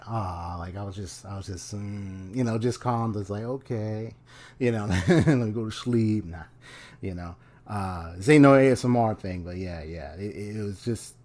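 A young man casually reacting to a game trailer at home, speaking in a rambling, hesitant way into a desk mic. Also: medium pitch. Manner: rambling, hesitant, many restarts, relaxed. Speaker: video game reaction youtuber. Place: small room at home, indoors. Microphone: desk microphone on a stand.

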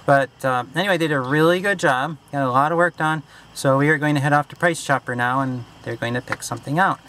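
A man's voice: a little high, fast talker. Man vlogging casually over noticeable noise. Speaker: vlogger, father. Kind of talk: casual family vlog chat. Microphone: handheld camera. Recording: noisy handheld camera audio.

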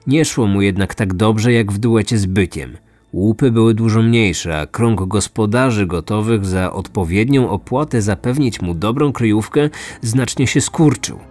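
A man narrating a scripted true crime story as host, in a measured, serious manner. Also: mid-range voice, a little mellow, dry recording. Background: music.

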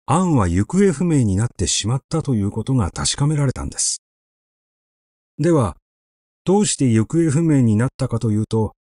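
A man reading a literary story aloud in a measured, expressive, conversational storytelling manner, close to the microphone. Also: mid-range voice, no echo. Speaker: audiobook reader.

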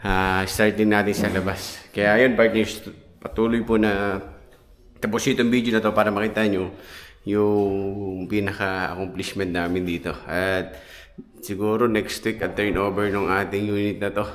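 A man's voice: lowish voice, little pitch movement, measured pace. A man in his thirties, a contractor, chattily walking viewers through a renovated house, filming himself with a handheld camera. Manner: chatty, points things out with repeated fillers. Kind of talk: casual walkthrough vlog. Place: outdoors on a street and inside an empty house. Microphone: handheld action camera.

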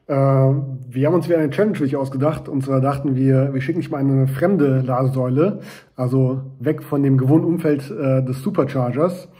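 A man's voice: mid-range voice, fairly quick, dark tone. A man from a sponsor leaving a friendly recorded video message, hesitating often with fillers. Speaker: sponsor representative.

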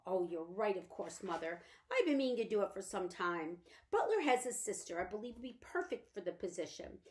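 A calculating boy genius from a storybook, read by a woman. He speaks in a measured, restrained way and stays polite. The voice still rises and falls in a lively way. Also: mid-range voice, natural voice. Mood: scheming.

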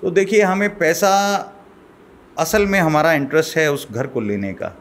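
A man's voice: on the high side, very animated, a bit bright. A middle-aged man as a guest expert, explaining step by step in a studio interview at a desk mic. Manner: measured, explaining, code-mixes English terms. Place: small studio set. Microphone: desk mic on boom arm.

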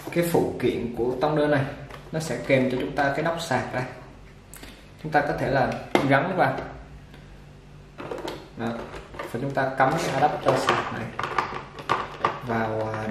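Guy casually unboxing clippers, middle voice, lots of uh's, roomy echo, motor buzzing loud behind. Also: medium pitch, a little slow, long pauses. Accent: Vietnamese. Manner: relaxed, talks while handling the product, many fillers. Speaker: product reviewer for a barber supply shop. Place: indoor shop table with clipper boxes. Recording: echoey room with machine noise. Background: clipper motor buzz and clicks.

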